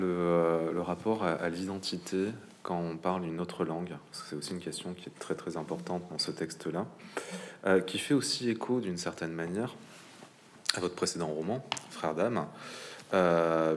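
A man's voice: deep voice, slight background noise. A male interviewer asking long, winding questions full of fillers and restarts.

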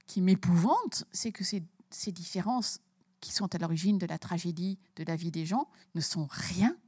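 A woman's voice: mid-range voice, a little mellow, dry recording, studio quality. A woman author from Belgium, talking emphatically about her book in an interview and repeating words as she starts her sentences.

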